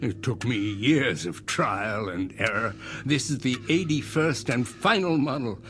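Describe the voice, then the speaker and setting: Lilting, genteel old inventor. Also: male voice, medium pitch, animated, a bit bright, faint music behind. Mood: quietly insistent. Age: older. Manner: measured, formal, professorial phrasing. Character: eccentric old male tinkerer-inventor, genteel, cryptic, patiently persuasive. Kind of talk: radio drama acting.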